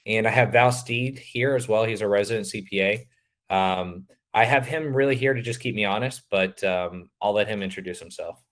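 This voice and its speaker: A man presenting a webinar, his voice a little raspy and hoarse, talking casually with many fillers. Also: on the low side, normal speed, a bit bright. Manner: casual, filler-heavy, loose explanations. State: slightly hoarse.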